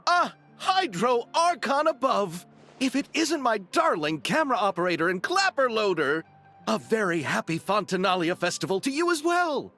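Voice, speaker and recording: An earnest, excitable film director from a video game. His voice is high for a man and sweeps widely through florid, theatrical phrases, with faint music behind. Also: normal speed, heavily acted. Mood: delighted.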